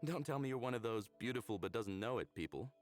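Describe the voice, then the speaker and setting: A man plays an earnest, curious young hero of a sci-fi visual novel. His medium-pitched voice swings widely and expressively, full of concern, over quiet music. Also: expressive, fast, dry recording, lightly acted. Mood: curious, probing. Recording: music under the voice.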